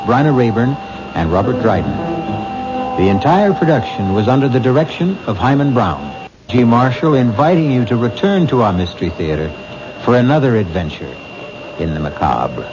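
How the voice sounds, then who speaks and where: A man hosting a vintage radio mystery, theatrical and lively, stretching out his words for effect. The broadcast is dull and thick with loud hiss. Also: medium pitch. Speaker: host and narrator. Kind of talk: radio mystery host outro.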